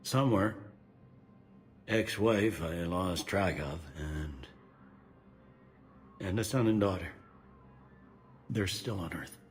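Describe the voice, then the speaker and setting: A middle-aged police commander in a video game, with a low voice. He is cynical and tired, speaking plainly and with dry candor. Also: slow pace, long pauses, soft background music. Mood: resigned. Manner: plain-spoken, wry, world-weary.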